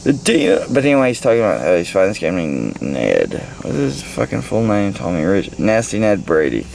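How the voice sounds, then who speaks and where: A man's voice: sits low, wildly swooping pitch, fairly quick, creaky, darkish tone. An American man in his thirties giving a rambling, casual book report with heavy swearing and bits read aloud, recorded on a handheld camera in a car. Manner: crude, heavy swearing, irreverent, scattered. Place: inside a parked car. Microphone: handheld phone or camera at arm's length. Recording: handheld recording with faint background noise.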